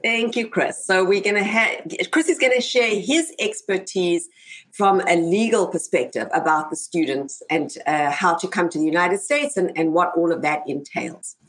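A woman's voice: on the low side, normal speed. A woman hosting an interview show, introducing topics and putting questions to guests. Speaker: host.

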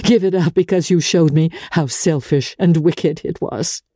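Lady reading as a wounded society woman in a classic novel, husky, slow n dramatic. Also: deep voice, mellow, no room sound, studio quality, voice pushed up. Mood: hurt, indignant. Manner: measured, dramatic, with halting pauses. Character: worldly, wounded aristocratic woman, low-voiced then passionately indignant. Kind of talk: dramatic literary audiobook character reading.

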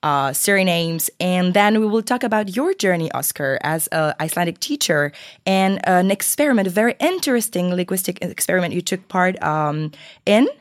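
Woman, lowish pitch, dry sound, chatty interview host, a little under the weather. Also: animated, moderate pace, no room sound. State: a bit sick. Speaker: podcast host. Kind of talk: scripted intro and chatty interview.

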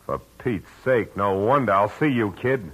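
An actor playing a tough, world-weary private detective in a 1940s radio drama, talking in clipped, hard lines. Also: male voice, fairly low pitch, lively intonation, normal speed, over loud music, close to an everyday voice, poor audio. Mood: muttering. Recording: old radio broadcast with faint hiss.

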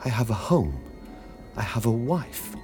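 A man's voice: on the low side, big pitch swings, darkish tone, soft background music. A man voicing a bewildered, frightened man who stammers and whose voice cracks. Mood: panicked. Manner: halting, panicked, stammering.

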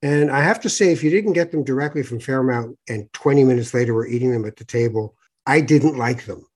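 A man's voice: mid-range voice, a little fast, a little mellow, slightly rough. A man from Toronto hosting a podcast interview, chatty, with fillers and restarts. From Toronto, Canada. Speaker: podcast host. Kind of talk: conversational interview.

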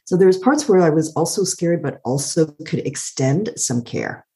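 A middle-aged woman with a calm, soothing voice, thoughtfully telling her life story as an interview guest and laughing often. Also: sits low, normal speed. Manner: warm, thoughtful, laughs often, uses "like" a lot. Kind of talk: reflective personal storytelling.

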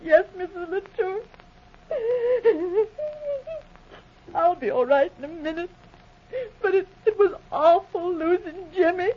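A woman's voice: extremely high pitch, normal speed, slight background noise. An actress playing a meek, anxious young woman in grief, timid and deferential, in 1940s radio drama style. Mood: tearful, meek. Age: young adult. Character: meek, grieving young singer girl, anxious and naive. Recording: dull lo-fi vintage radio recording.

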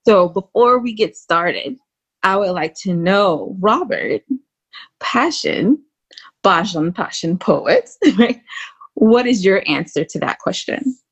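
A woman's voice: on the low side, breathy edge. A woman hosting a casual podcast interview, talking in an informal, flirtatious and teasing way. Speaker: podcast host.

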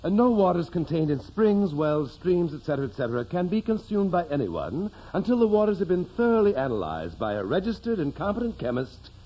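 An actor playing a pompous, officious town official who schemes in deliberate phrases, in 1940s radio drama style. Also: male voice, sits high, sing-song, husky, heavily acted. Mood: pompous, smug. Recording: muffled, noisy old radio broadcast.

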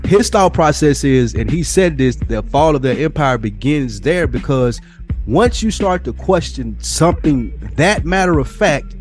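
A man's voice: a little high, animated, measured pace, soft background music. A man co-hosting a podcast in casual, opinionated chat, speaking emphatically with slang and free swearing. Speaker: podcast co-host.

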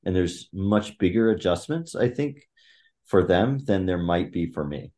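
Deep-voiced man musing with many restarts. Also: lively intonation, a little mellow. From Thailand. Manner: reflective, halting with many restarts and fillers. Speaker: podcast guest. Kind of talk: conversational interview.

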